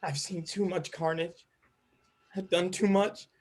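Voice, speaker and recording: A man playing a despairing, guilt-ridden wounded fighter, husky and breathy, in a dramatic table read. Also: high voice, steady tone, measured pace, a little mellow, soft background music. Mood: pained, pleading.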